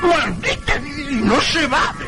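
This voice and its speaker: An actor playing an excitable, suspicious old taxi driver with a blunt manner in a horror radio play. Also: male voice, very high-pitched, slow, vocal fry, full character voice. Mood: furious, alarmed. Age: older. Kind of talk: radio horror drama acting. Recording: radio play with loud music.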